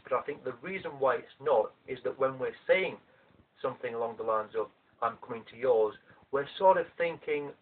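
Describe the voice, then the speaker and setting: A man with a South Yorkshire accent, teaching a language lesson in a measured, methodical way, recorded on a mobile phone. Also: medium pitch, husky. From South Yorkshire, England. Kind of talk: explanatory video lesson. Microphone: mobile phone camera. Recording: tinny, distant phone recording.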